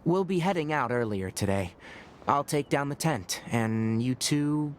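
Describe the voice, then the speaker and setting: An actor voicing a calm young scholarly male forest ranger in a video game, speaking in a measured, thoughtful, gently lecturing way. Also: mid-range voice, slight background noise, close to an everyday voice. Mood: practical.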